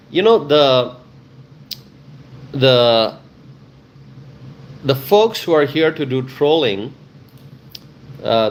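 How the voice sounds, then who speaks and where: A man hosting a live stream, chatting casually with viewers in a halting way, often repeating himself and pausing. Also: medium pitch. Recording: clear ambient background noise.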